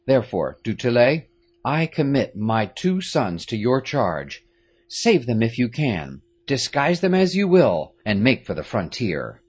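A man's voice: medium pitch, huge pitch swings, a little slow, slightly rough, voice pushed up, full character voice. A man voicing a stern, commanding aristocratic French father in an audiobook, speaking firmly. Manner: firm, authoritative. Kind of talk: audiobook character reading.

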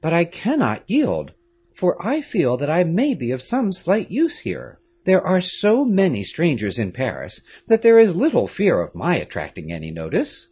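A polite young Englishman refuses to back down, as a man reads him. He speaks quietly but firmly, his husky voice pitched up and lively. It is a storybook figure. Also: normal speed, full character voice. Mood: resolute. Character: brave, thoughtful English youth, polite but resolute.

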